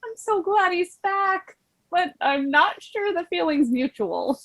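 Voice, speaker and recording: High, lively, hopeful young woman, played by an actress. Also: slow, full character voice, low-quality recording. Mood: hopeful, uncertain. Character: Jill, hopeful young woman frustrated with her noncommittal boyfriend. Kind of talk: musical theatre table read. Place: recorded remotely online.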